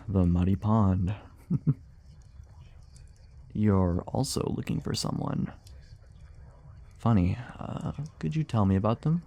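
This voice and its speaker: Man playing a slow, gravelly, weary monster hunter. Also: lowish voice, dark tone, full character voice. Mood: teasing, curious. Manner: hesitant, muttering, sighing, wryly sarcastic. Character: weary, grumbling male monster hunter, guarded yet sociable. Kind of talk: immersive ASMR fantasy audio roleplay.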